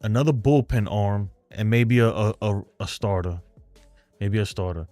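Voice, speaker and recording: Rambling New York man, low voice. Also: normal speed, warm tone, dry recording, soft background music. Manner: rambling, talkative, loose and frank. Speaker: host of a fan live stream. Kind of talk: casual live-stream chat, reading viewer comments.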